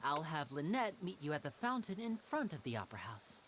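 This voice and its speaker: A charming young man who performs magic, speaking as a video game character. The voice is polite and lightly low for a female one, with very animated intonation. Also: a little fast, slight background noise. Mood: brisk, friendly.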